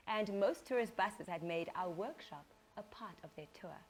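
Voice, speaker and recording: A woman giving an expressive, dramatic first-person reading of a short story, acting out the dialogue. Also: medium pitch.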